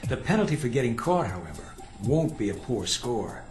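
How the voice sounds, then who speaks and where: Male actor as stern covert-agency trainer, medium pitch, terse, coolly condescending, video game dialogue, roomy sound. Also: very animated, brisk, lightly acted. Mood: sly, challenging. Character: clipped, exacting male spy training instructor. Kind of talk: video game cutscene acting. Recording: roomy echo, music behind.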